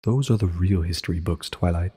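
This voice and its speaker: Man as a low, husky, solemn pony ruler. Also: mellow, big-room sound. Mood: hesitant, grave. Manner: measured, solemn, deliberate. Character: calm, grave, ancient female pony ruler, regal and guarded. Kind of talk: dramatic reading of a short story.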